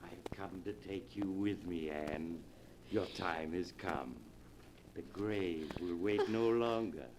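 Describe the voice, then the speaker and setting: Very slow, deep ghostly uncle. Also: male voice, a little mellow. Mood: menacing, solemn. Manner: slow, solemn, ominous. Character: ghostly dead uncle beckoning his niece to the grave. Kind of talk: 1940s radio horror acting. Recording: noisy vintage 1940s radio recording.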